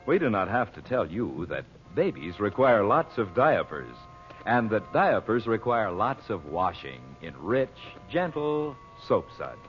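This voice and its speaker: Animated old-time radio announcer man, muffled. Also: lowish voice, a little slow. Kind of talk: 1940s-style radio announcing and sponsor pitch. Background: music.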